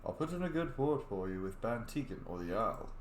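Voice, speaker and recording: A man's voice: mid-range voice, sing-song, a little fast, reverberant, a little hiss or hum. A man playing through a fantasy roleplay game, reading his player lines aloud in a playful, casual way. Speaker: roleplay gamer.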